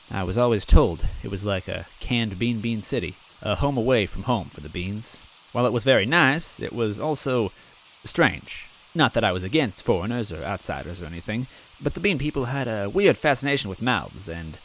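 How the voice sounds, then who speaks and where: Low-voiced man softly reading a story. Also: a little hiss or hum, rough recording. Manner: soft, wistful, gently comic. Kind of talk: first-person story reading.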